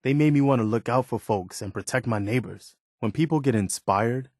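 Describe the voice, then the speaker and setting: A man's voice: medium pitch, normal speed, darkish tone, no room sound, studio quality, natural voice. A man playing an earnest, idealistic and loyal militia soldier in a video game, speaking plainly and conversationally. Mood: warm, sincere. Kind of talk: video game companion dialogue, naturalistic acting.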